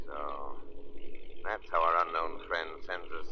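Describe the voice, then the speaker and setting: An actor playing a mysterious, commanding crime fighter in 1930s radio drama, with an ominous, deliberate delivery. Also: male voice, a bass voice, fairly even intonation, slight background noise. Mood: commanding, calm. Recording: muffled, distant vintage 1930s radio recording.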